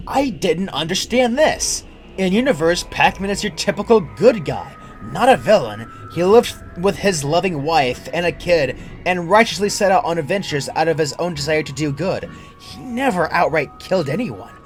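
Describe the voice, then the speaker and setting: A man's voice: fairly high pitch, animated, normal speed, soft background music. A young adult man reading a scripted first-person creepypasta as its narrator. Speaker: horror story narrator.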